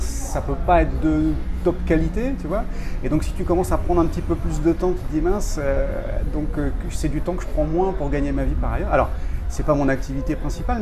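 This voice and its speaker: A man in his forties from France, a jazz pianist and writer, talking casually in an interview, thoughtful and hesitant with many fillers. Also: on the high side. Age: 40s.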